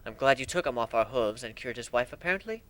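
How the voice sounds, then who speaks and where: A man's voice: medium pitch, lively intonation, fast, a bit bright. A man giving an amateur dramatic reading as the narrator of a fan fiction story.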